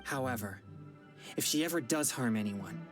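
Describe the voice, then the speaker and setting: A woman playing an earnest young male exorcist in an anime game, speaking in a formal, measured, righteous way. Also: extremely low pitch, animated, over music. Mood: calm, stern.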